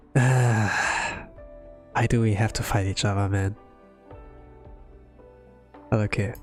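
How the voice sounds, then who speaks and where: Halting man streaming over music. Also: fairly low pitch, steady tone. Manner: halting, thinking aloud between read lines. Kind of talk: blind game story readalong with casual commentary.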